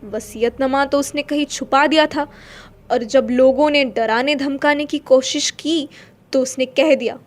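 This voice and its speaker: A woman's voice: high voice, measured pace. A woman narrating a literary story in a dramatic audio-play style. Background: faint music.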